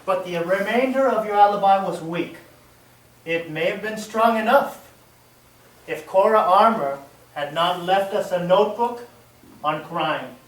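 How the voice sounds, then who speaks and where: A man's voice: high-pitched, measured pace, roomy sound, distant mic, poor audio. A man playing a suspicious, insistent police interrogator in an old-time radio play, accusatory in manner. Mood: accusing.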